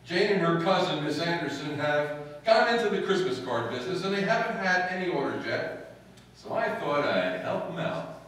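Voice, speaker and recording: A wry, long-suffering husband from an old radio comedy, acted by a man. He speaks high and with big swings of intonation. It is picked up from far off in an echoing room. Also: big pitch swings, moderate pace, a bit bright. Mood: dry, wry. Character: wry, put-upon husband narrating his radio sitcom life. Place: indoor hall stage before seated audience. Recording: roomy, distant stage recording, faint noise.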